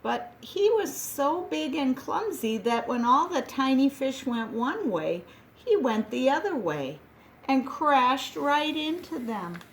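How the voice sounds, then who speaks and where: A woman reads a picture book aloud to children, unhurried and expressive, lingering on words for effect. Her voice sits a little high, and a faint hiss runs under the recording. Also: poor audio. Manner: gentle, expressive, slow with dramatic pauses.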